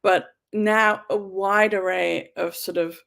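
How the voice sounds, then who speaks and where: Gravelly-voiced English woman author. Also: mid-range voice, steady tone, slow pace. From United Kingdom. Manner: chatty, frequent ums and soft laughs. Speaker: guest author and historian. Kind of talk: conversational interview answers.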